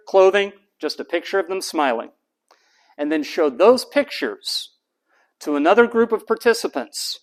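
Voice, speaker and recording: A man lectures a university class in a high voice that swoops up and down as he talks. He keeps the students involved by asking rhetorical questions and then answering them. His delivery is lively and sounds like a teacher working a classroom.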